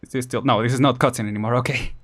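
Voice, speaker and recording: A man's voice: mid-range voice, fairly even intonation, rapid-fire, fairly bright tone. A man giving casual, rambling, chuckle-prone live commentary as he streams a video game. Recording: game music behind.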